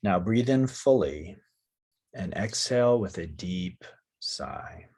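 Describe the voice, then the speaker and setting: Slow, low, husky male meditation guide. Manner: calm, soothing, long pauses between short phrases. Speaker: yoga nidra teacher.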